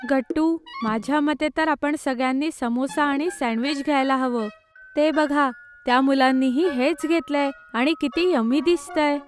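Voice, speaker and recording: A bubbly little schoolgirl played by a woman with a very high voice. She is keen and enthusiastic, in children's cartoon style, over background music. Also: normal speed, natural voice.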